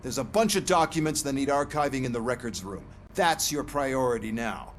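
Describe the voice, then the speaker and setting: An actor playing an irritated, bossy police superior in a video game, curt and scornful. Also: male voice, mid-range voice, animated, moderate pace, a little hiss or hum, lightly acted.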